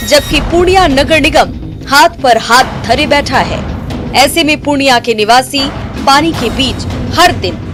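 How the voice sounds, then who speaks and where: Woman news narrator, kinda high voice, dramatic scripted read, music running under it. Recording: music bed behind voice.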